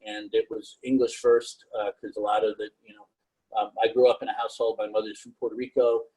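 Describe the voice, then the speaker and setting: Middle-aged man, monotone, slightly husky, rambling career chat. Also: lowish voice, low-quality recording. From New York. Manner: monotone, halting with many fillers. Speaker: panelist. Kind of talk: casual career talk on a video call.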